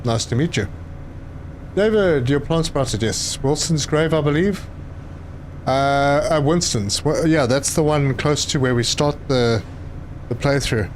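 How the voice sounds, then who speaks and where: A man, live streaming a game and chatting with viewers, often pausing with fillers. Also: fairly high pitch, slight background noise. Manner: chatty, reads chat aloud, hesitant with fillers. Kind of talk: casual live stream commentary.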